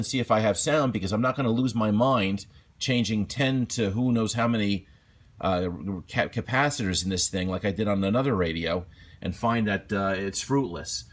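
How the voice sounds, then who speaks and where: A man presenting a casual, unscripted repair walkthrough in a rambling, self-deprecating, colloquial way. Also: lowish voice. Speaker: hobbyist presenter.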